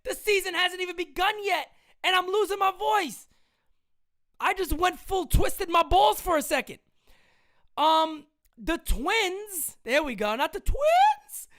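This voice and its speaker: An excitable man hosts a live stream. He drops to a mutter and then bursts into yelling. His voice sounds strained from the shouting. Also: extremely high pitch, measured pace. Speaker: live-stream sports show host. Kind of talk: casual live-stream commentary.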